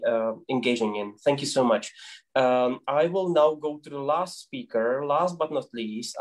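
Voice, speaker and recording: A man's voice: mid-range voice, a little mellow. A man moderating an online panel, polite and apologetic, with frequent fillers.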